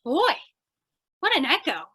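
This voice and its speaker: A woman's voice: sits high, wildly swooping pitch, measured pace, background noise, exaggerated voice. A woman playing a scatterbrained, chatty, eager young lady reporter, earnest and theatrical, in old-time radio crime comedy style. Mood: breezy, oblivious.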